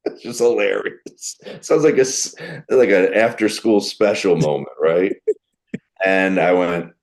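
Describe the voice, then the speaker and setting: A middle-aged man with a deep voice, a comedian telling animated stories in a podcast interview. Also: slow pace, rough recording. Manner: animated storyteller who acts out quoted people. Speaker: guest comedian.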